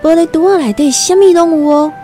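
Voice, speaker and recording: Radio drama role: humble young rural girl, Taiwanese accent, plain-spoken and earnest, warm relaxed timbre. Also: extremely high pitch, brisk, soft background music. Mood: wide-eyed wonder. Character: timid nineteen-year-old country girl new in Taipei. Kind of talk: Taiwanese radio drama, first-person storytelling and dialogue.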